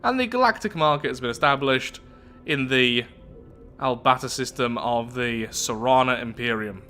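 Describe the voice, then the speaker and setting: A man hosting a video game playthrough, talking through it in an animated, chatty way and thinking aloud. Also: medium pitch, normal speed, soft background music. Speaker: YouTube gaming host. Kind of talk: game playthrough commentary, reading event text aloud.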